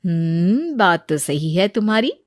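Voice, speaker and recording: A woman voicing a composed, gracious queen with a regal, measured manner in a storybook tale. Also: on the low side, big pitch swings, no room sound, studio quality. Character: composed, gracious queen testing young petitioners.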